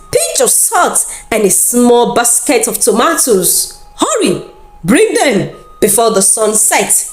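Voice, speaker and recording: A woman's voice: fairly high pitch, roomy sound. A woman plays a crafty, bossy village magician in a folktale, giving dramatic instructions.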